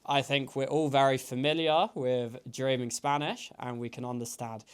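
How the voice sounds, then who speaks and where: Clear-voiced man hosting an interview. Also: mid-range voice, steady tone. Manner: measured and articulate. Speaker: host, English teacher.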